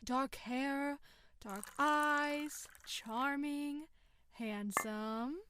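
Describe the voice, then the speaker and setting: A woman's voice: high voice, drawn-out words, sharp tone, slight background noise, full character voice. A woman playing a dreamy young friend who prods and gossips in an audio drama. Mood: teasing.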